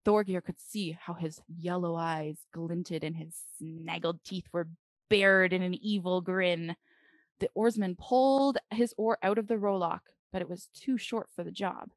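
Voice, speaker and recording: A woman reading a children's storybook aloud dramatically, with deliberate phrasing and expressive pauses. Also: fairly low pitch, no echo.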